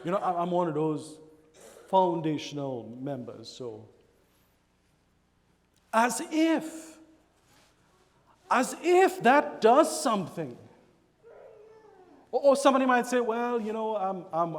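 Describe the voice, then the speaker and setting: High-voiced Trinidadian preacher in a hall. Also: male voice, slow pace, long pauses. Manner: animated, acts out church members in quoted voices. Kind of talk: sermon. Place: church sanctuary.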